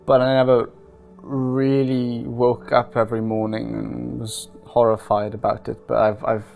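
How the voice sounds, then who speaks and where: Calm young man with a gravelly voice. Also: medium pitch, faint music behind. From Wales and Norway. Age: 20s. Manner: calm, unhurried, with frequent hesitations. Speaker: interviewee. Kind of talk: casual personal testimony.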